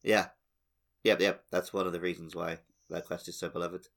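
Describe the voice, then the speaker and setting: A man's voice: low voice, flat delivery, normal speed, a bit bright. A man hosting a podcast in casual, conversational talk. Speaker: podcast host. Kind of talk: casual chat.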